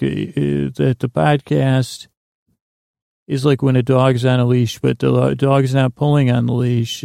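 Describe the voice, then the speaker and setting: A man hosting a sleep podcast in a lulling, soothing tone, meandering haltingly through a bedtime monologue with stammers and repeats. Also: mid-range voice, vocal fry, mellow, no echo, studio quality. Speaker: podcast host. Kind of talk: rambling bedtime monologue to lull listeners to sleep.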